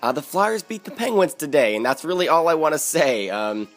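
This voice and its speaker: Casting: hoarse Philly-young man, chatty gaming commentary, faint music bed. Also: a little high, sing-song, fairly quick. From Philadelphia, USA. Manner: chatty, strategizing aloud, frequent fillers. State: hoarse, raspy from yelling. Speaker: gaming YouTuber. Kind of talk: live game commentary.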